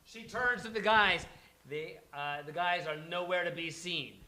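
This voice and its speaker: A man's voice: high voice, a little slow, pushed and bright, low-quality recording. A man narrating a comedy script aloud at a live table read, dramatically playing up the action. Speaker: stage-direction narrator at a live script reading.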